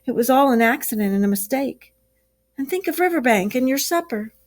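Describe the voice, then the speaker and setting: Lady reading as a weepy scared little mole guy, high and begging. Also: lively intonation, fairly quick. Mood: pleading. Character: tearful timid male mole, pleading.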